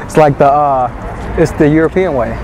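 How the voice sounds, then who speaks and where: A young man who coaches dating, talking in a low, measured way, sometimes slowing right down. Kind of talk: street pickup talk and to-camera advice. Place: busy city square outdoors. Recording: street noise and traffic behind.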